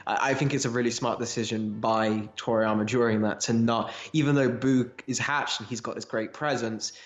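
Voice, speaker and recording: A man's voice: mid-range voice, fairly even intonation, moderate pace, dull audio. A man in casual analytical discussion as a podcast co-host, rambling a little as he thinks aloud.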